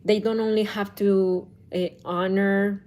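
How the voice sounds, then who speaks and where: Slow-talking woman parenting coach. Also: mid-range voice, level tone, darkish tone. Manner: slow, thoughtful, with restarts and self-corrections. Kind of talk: casual talk to camera.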